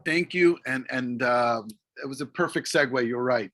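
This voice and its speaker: A man's voice: mid-range voice, normal speed, pushed and bright, dry recording. Male panel moderator, stumbling questions, close dry mic. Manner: rambling, hesitant questions.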